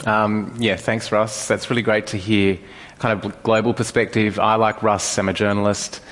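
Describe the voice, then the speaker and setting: A man's voice: lowish voice, flat delivery, moderate pace, a bit bright. A man from Australia, speaking unscripted as a journalist on a panel. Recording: echoey hall reverb.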